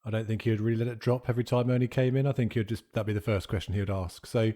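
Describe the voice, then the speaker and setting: Mellow, low-voiced man at a game. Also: fairly even intonation, rapid-fire. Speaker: roleplaying game player. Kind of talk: casual improvised character backstory.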